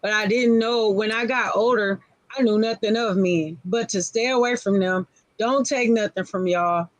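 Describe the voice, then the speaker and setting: A woman's voice: medium pitch, fairly even intonation, moderate pace, no room sound, low-quality recording. A woman in her forties speaking frankly on a casual live-stream panel, in short, repeated phrases. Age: 40s.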